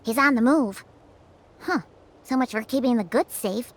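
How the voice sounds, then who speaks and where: A woman voicing a small, excitable, childlike fairy companion in a video game, chirpy and curious. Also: moderate pace, close to an everyday voice. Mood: curious, puzzled. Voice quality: squeaky, childlike. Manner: chirpy, exclamatory.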